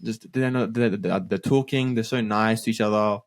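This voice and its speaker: A man's voice: lowish voice, normal speed. Joking young man in casual chat. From Australia. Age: young adult. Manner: jokey, rambling, slangy. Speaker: podcast co-host.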